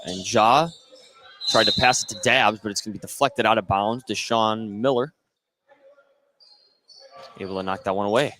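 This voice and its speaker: A man's voice: lowish voice, moderate pace, long pauses, bright, forceful tone. An American man doing play-by-play radio commentary of a basketball game, following the action in short running phrases. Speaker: play-by-play sports announcer. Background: basketball game in a gym.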